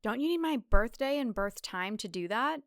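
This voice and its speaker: A woman's voice: a little high, lively intonation, moderate pace, no room sound. A woman voicing a wry, uneasy city woman in audio fiction, dry and politely skeptical. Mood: skeptical, tired.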